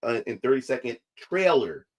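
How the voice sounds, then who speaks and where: A man's voice: medium pitch, very animated, normal speed. A man talking casually to his viewers, repeating himself and restarting phrases, his voice not quite recovered. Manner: repetitive, restarts phrases often. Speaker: independent comic creator. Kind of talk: casual livestream talk to viewers.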